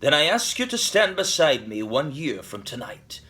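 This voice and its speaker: An actor playing an eloquent, defiant vigilante revolutionary in a theatrical monologue, addressing the nation with deliberate, grandiloquent emphasis. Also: male voice, on the high side, big pitch swings, sharp tone. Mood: rousing, resolute.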